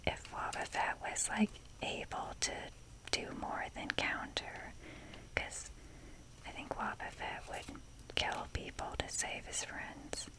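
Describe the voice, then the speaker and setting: Whispering woman, slow rambling ASMR. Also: poor audio. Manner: rambling, self-deprecating, casually sweary. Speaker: ASMR video creator.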